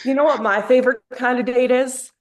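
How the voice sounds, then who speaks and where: A woman's voice: sits high, steady tone, a little fast, natural voice. A woman playing a cocky, blunt young macho actor in a sitcom script read. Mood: teasing.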